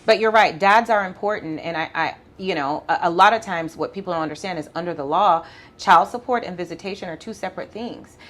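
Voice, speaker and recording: A woman with a Jamaican accent, an attorney explaining law in a casual interview, talking quickly and laughing often. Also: fairly low pitch, fairly bright tone. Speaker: family law attorney, podcast guest.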